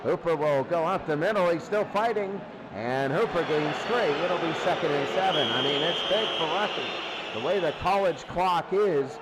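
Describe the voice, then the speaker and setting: A man's voice: a little high, normal speed, heavy background noise. A man giving live play-by-play football commentary as a sports announcer.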